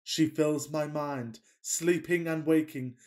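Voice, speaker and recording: A man's voice: fairly high pitch, steady tone, slow. Guy acting fussy dramatic victorian gent in a radio comedy, pompous and gushing. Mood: rapturous. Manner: declamatory, pompous, florid. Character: melodramatic, fussy Victorian gentleman suitor, self-pitying and passionate. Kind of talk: farcical radio play acting.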